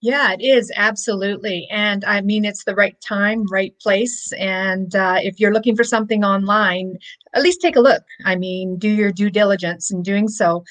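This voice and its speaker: A woman's voice: medium pitch, fairly bright tone, rough recording. A middle-aged woman co-hosting over a video call, friendly and encouraging. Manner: friendly, encouraging, quick to agree. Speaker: co-host. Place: home room, indoors. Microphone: webcam on video call.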